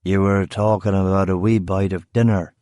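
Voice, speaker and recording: A man reading a story, voicing a drunk middle-aged former sailor with a Northern Irish accent and a slow drawl. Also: deep voice, level tone, warm tone, no echo, clean studio sound, close to an everyday voice. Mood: drunken drawl.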